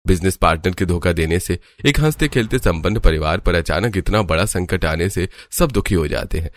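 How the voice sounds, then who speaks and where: A man's voice: sits low, expressive, moderate pace, dry recording. A man narrating a scripted family story as its storyteller.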